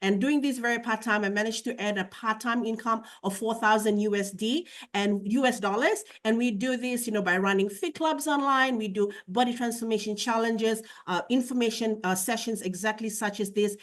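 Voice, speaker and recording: A woman's voice: fairly high pitch, moderate pace, few pauses. A woman from Fiji, whose first language is Fijian, speaking as co-host of a business presentation over a video call. She talks in a chatty way with many fillers.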